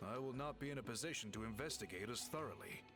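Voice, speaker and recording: Give me a man playing a courteous, lively-voiced scholarly chief. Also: medium pitch, over loud music, natural voice. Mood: earnest, thoughtful. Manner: measured, polite, formal, deliberate. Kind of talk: video game voice acting, measured scripted dialogue.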